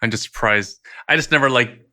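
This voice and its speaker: A man's voice: medium pitch, a little fast, bright, forceful tone. A man hosting a real estate podcast, chatting casually with a guest, with jokey asides and frequent laughs. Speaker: podcast host, interviewer. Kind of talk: casual interview chat.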